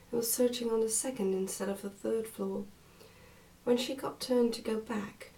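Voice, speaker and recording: A soft-spoken woman, often close to a whisper, reading a book aloud in an ASMR style. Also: fairly high pitch, creaky, recorded from a distance, a little hiss or hum, rough recording. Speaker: ASMR reader and host.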